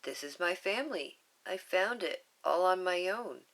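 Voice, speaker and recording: A woman's voice: on the low side, lively intonation, unhurried, fairly bright tone, low-quality recording. A woman reading a storybook aloud, voicing a stubborn, loving little girl in a raised, earnest voice.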